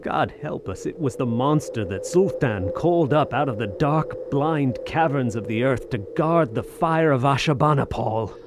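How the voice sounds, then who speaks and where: An actor playing a shaken soldier of fortune haunted by unearthly horror, speaking haltingly and with awe in a radio drama. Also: male voice, mid-range voice, expressive, noisy background, natural voice. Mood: dread. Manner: halting, awestruck, dramatic delivery.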